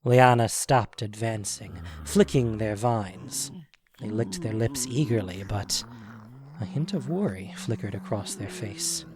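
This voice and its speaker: A man narrating a scripted audio drama in an expressive, measured storytelling manner. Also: lowish voice, noisy background.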